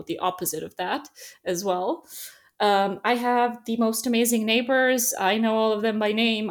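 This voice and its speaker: Woman, Serbian accent, casual podcast co-host, animated anecdotes. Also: mid-range voice. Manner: animated storyteller, laughs often, acts out quotes. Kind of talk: casual conversational chat. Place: lives in a small Dutch town.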